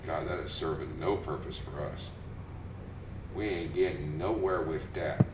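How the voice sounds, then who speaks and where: Brief: low-voiced male streamer, dry joker, roomy sound with a noisy backdrop. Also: unhurried, far from the mic. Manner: dry, joking asides. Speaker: co-host streamer. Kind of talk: casual live-stream chat over gameplay. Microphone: room mic set away from him. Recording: roomy, off-mic, with background noise.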